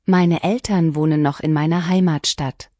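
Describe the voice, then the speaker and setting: A woman reading short lesson sentences one at a time, each clearly and deliberately, for learners to repeat. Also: low voice, steady tone, mellow, no echo, clean studio sound. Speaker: language lesson reader. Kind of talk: scripted shadowing practice reading.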